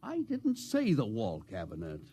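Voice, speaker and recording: Stern commanding spaceship captain, old radio hiss. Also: male voice, lowish voice, wildly swooping pitch, moderate pace, mellow. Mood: stern, suspicious. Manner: barking orders, curt and authoritative. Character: stern, commanding male spaceship captain interrogating his crew. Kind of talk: 1950s radio drama acting. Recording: noisy 1950s radio recording.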